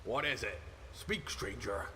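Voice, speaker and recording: A man's voice: mid-range voice, expressive, measured pace, bright, forceful tone. A man voicing a comical, cowardly yet boastful fantasy warrior follower in a video game, with exaggerated theatrical delivery. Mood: gruff acceptance. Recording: very noisy game audio capture.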